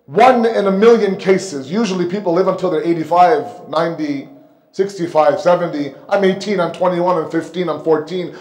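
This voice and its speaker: A man delivers a religious lecture in a rather high voice. He speaks emphatically, repeating phrases for weight and posing rhetorical questions. The sound is noticeably echoey, picked up on a microphone in a hall. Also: a little mellow. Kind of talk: sermon lecture. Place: indoor mosque hall, seated at the pulpit. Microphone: microphone on a stand close in front.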